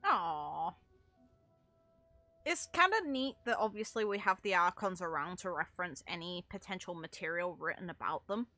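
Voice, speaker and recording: A woman game streamer giving casual, animated, unscripted reactions in informal, sweary language. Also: lowish voice, normal speed, no room sound.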